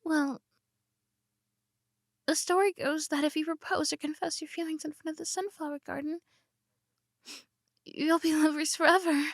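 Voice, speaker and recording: A woman's voice: high-pitched, fairly even intonation, normal speed, pauses often, no room sound, studio quality. A woman doing a stammering tsundere princess. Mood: tender. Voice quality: stammering, flustered, shifts between soft whispers and indignant outbursts. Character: flustered tsundere tomboy princess, young woman. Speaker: ASMR roleplay performer. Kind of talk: ASMR roleplay acting.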